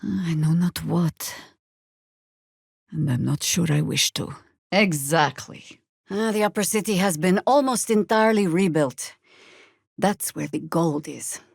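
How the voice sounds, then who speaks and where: An actress playing a seasoned, middle-aged druid woman in a video game, a wry and stern resistance fighter who speaks with measured, commanding dryness. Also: lowish voice, vocal fry, no echo, clean studio sound. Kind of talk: video game character dialogue, dramatic acting.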